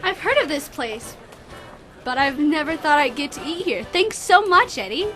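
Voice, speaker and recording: A woman playing an eager, spirited young date in a detective radio drama, breezy and excitable. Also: sits high, moderate pace, faint music behind, exaggerated voice. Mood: delighted.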